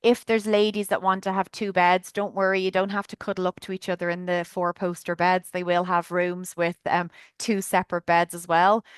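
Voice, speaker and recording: A woman's voice: lowish voice, level tone, normal speed, hardly any pauses, clean tone, no echo. An Irish woman chattily presenting a trip on a video call webinar, enthusiastic with lots of fillers. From Ireland. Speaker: tour guide presenting a trip.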